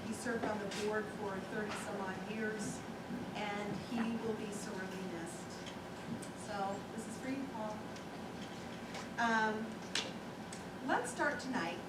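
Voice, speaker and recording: A woman hosting at a theater, giving an informal, halting pre-show announcement. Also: fairly high pitch. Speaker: theater host introducing a show. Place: theater, recorded from afar. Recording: echoey theater, distant mic, loud noise.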